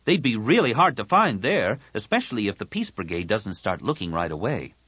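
A man's voice: on the low side, very animated, a little fast, fairly bright tone, breathy edge, no room sound, voice pushed up, heavily acted. Man as an earnest, determined teenage boy hero. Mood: resolved. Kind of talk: audiobook character dialogue.

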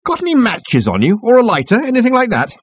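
A man's voice: extremely high pitch, wildly swooping pitch, fast, breathy edge, dry recording, pitched above the performer's own voice, heavily acted. A man voicing a genial, vague male captain in an audiobook, pleasant, polite and chatty.

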